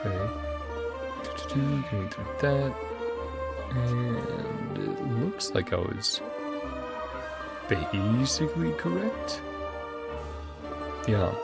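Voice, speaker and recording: A man's voice: medium pitch, vocal fry. A man casually chatting as a livestream game host, rambling and enthusiastic in his commentary. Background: game music.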